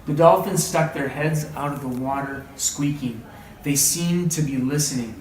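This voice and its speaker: A man reading a story aloud as a teacher, with dramatic, deliberate phrasing and questioning asides. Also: medium pitch, a little mellow. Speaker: read-aloud teacher and trainer. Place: indoor room, training session. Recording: echoey room, distant mic, background noise.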